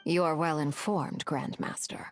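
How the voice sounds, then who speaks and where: An actress playing a composed, guarded woman of rank in a video game, speaking in a measured, formal manner. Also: deep voice, steady tone. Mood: cool, composed. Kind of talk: video game character acting. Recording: background music under the voice.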